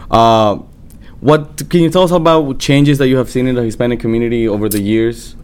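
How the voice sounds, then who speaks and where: A man hosts a casual interview. His voice is clean and smooth, and he talks in an informal, conversational way. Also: medium pitch, fairly quick, darkish tone. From El Salvador.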